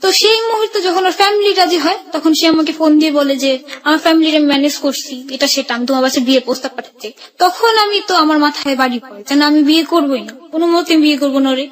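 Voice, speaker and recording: A young Bangladeshi woman with a high voice tells her personal story as a radio guest. She talks quickly in colloquial, run-on sentences, one piece flowing into the next. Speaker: guest telling own life story.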